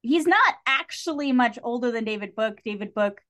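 A woman's voice: on the high side, lively intonation, moderate pace, bright, forceful tone. A woman talking casually and analytically as a guest on a podcast.

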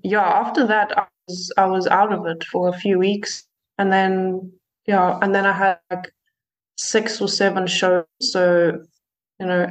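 A woman's voice: fairly low pitch, rough recording. A woman musician being interviewed, talking in a hesitant way with many fillers and restarts. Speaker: musician guest. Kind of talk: casual interview.

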